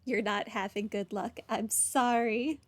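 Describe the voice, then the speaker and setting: Chatty woman streamer, slightly high voice. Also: steady tone, unhurried, no room sound. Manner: casual, chatty. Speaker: game streamer. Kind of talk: casual playthrough commentary, some lines read from the game. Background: game music.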